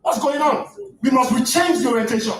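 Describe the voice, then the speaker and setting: A man's voice: very high-pitched, fairly quick, loud music behind the voice. Impassioned Nigerian man lecturing. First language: Yoruba. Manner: impassioned, rising to near shouts, stammering restarts. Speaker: guest lecturer. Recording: echoey room, distant mic, faint noise.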